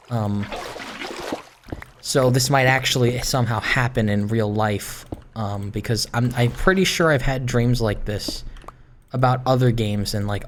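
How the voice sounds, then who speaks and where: A gaming video creator chatting casually while playing, rambling in a hesitant, halting way and often trailing off. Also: mid-range voice. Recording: clearly noisy, with clicks.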